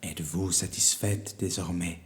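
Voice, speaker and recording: A man voicing a wise, solemn old wizard in a storybook, speaking slowly, gravely and deliberately. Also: sits low, fairly even intonation, big-room sound. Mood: tender, grave. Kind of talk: storybook character voice.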